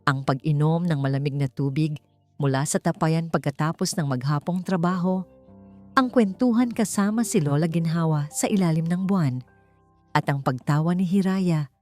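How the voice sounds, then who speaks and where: A woman reading a scripted romantic story as an audiobook narrator, in a measured delivery with deliberate pauses. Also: sits low, mellow. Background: background music.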